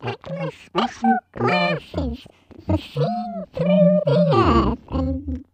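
A man's voice: very high voice, wildly swooping pitch, very slow, over a noisy crowd, pitched above the performer's own voice, exaggerated voice. A man voicing a goofy male alien with a Yorkshire accent, rambling and silly. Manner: rambling, silly, wildly swooping voice.

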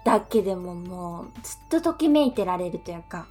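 A woman's voice: fairly low pitch, very animated, a little fast, dark tone, husky, soft background music. A woman co-hosting a radio show, chatting casually in a polite, responsive way. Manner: polite, responsive conversational delivery. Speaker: radio show co-host and stage actress.

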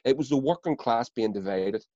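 A man's voice: mid-range voice, expressive, fairly quick, mellow, no room sound. Sweary Northern Irish man, dry dull sound. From Northern Ireland. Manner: blunt, sweary, repeats words when fired up. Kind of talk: casual chat.

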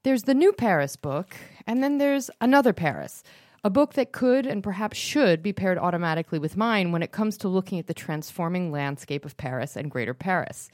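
A woman hosting a podcast, reading a prepared introduction and then chatting with her guest in a measured, friendly way. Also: fairly low pitch, a little mellow, no echo, clean studio sound. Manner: measured, friendly interviewer with short backchannels. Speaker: podcast host. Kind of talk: interview, scripted intro then casual chat.

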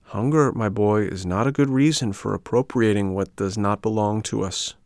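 A man plays a little storybook firefly with a kind heart and firm morals. It admonishes gently, with compassion, in a dry, close recording. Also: on the low side, moderate pace, dry recording, close to an everyday voice. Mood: gently reproving.

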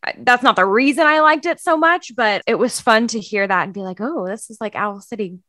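Woman, podcast co-host, bright voice, playful, chatty, dry recording. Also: a little high, a little fast, no echo. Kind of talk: casual podcast chat.

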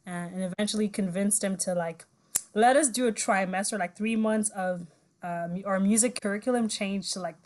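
A woman's voice: medium pitch, moderate pace, a little mellow. A woman in her twenties from Ivory Coast and Zimbabwe, whose first language is French, telling her story as a guest in a casual interview with frequent laughs and fillers. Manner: chatty, laughs often, trails into fillers. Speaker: guest musician.

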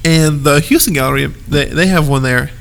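A man's voice: on the high side, normal speed, creaky, a bit bright, slight background noise. A man in his thirties with a stuffed-up nose, co-hosting a casual podcast chat in an easygoing, rambling way. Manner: easygoing, laughs often, rambling asides. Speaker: podcast co-host.

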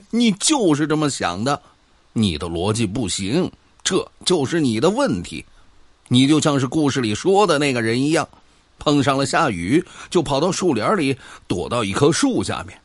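A man acting an argumentative know-it-all in a sci-fi radio play, recorded close and dry. His pitch moves in a lively way as he needles and lectures his drinking buddy. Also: normal speed, no echo. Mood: scoffing, insistent. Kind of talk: Chinese sci-fi audio drama dialogue.

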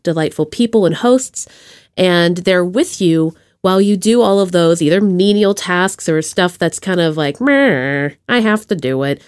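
A woman hosting a podcast in a casual chat, with a playful, slangy and expressive way of talking. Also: fairly low pitch, dry recording, clean studio sound. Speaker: podcast host.

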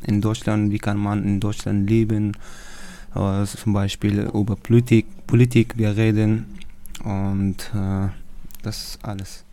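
A man from Afghanistan, not a German speaker, answering interview questions in halting learner's German with many pauses and fillers. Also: fairly low pitch, flat delivery, mellow. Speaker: course participant.

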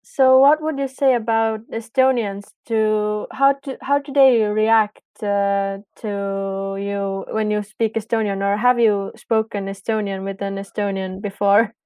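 Smooth, mellow woman interviewer. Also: medium pitch, flat delivery, a little slow, few pauses, no room sound. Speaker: podcast interviewer. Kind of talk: casual interview questions.

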